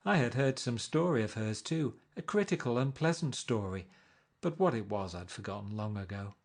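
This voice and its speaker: A man narrating a classic novel in a calm, measured read-aloud manner. His voice sits at an ordinary middle pitch for a man, steady and unhurried through the long descriptive passages. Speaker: audiobook reader.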